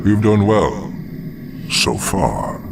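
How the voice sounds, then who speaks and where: An actor playing an imperious, menacing demon lord in a fantasy video game, speaking with condescension. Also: male voice, sits low, sing-song, very slow, creaky, very noisy, heavily acted. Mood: menacing, condescending. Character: imperious, contemptuous male demon dreadlord.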